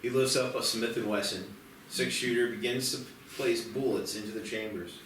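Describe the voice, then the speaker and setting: A man's voice: mid-range voice, steady tone, moderate pace, a bit bright, slight background noise. A man narrating a screenplay, reading out the stage directions at a table read. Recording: distant echoey room, clear music behind.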